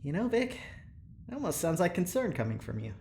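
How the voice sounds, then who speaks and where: An actor playing a tired but eager, easygoing young male researcher in an audio drama, chatty, expressive and a little hesitant. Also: sits high, a little fast, gravelly, slight background noise, heavily acted. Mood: wry, reassuring. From New Jersey. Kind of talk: naturalistic audio drama acting.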